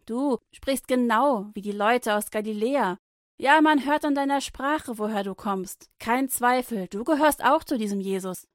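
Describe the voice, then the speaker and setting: A woman's voice: on the high side, moderate pace, no echo, clean studio sound, close to an everyday voice. A woman voicing a suspicious man who firmly probes a stranger. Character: man by the fire, suspicious and certain.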